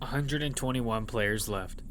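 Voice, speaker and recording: A man's voice: mid-range voice, a little slow, heavy background noise, natural voice. A man narrating an audio fiction survival story in character, as one who tells of a battle. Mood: storytelling.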